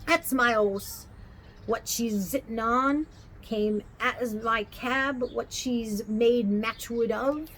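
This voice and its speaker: A woman's voice: a little high, fairly bright tone, slight background noise, poor audio. Woman putting on a Cockney cabby. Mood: protesting. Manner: dropped h's, drawn-out words. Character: red-faced Cockney cab driver, middle-aged man, gruff but kindly.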